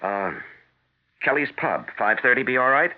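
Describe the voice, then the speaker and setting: A man's voice: mid-range voice, expressive, moderate pace, natural voice. An actor playing an earnest, exasperated friend in a 1970s radio drama, hesitant and pleading as he reassures. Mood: evasive, insistent. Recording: tinny, narrow-band 1970s radio broadcast recording.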